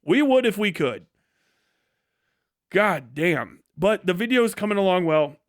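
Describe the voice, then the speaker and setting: A man with a clear, slightly high voice, recorded close and dry. He rambles like a livestreamer talking off the cuff, sardonic and exasperated, with profanity all through his speech. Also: sing-song, a little slow, no echo. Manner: heavily profane. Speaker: livestreamer and video creator giving a work update. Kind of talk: casual rambling livestream chat.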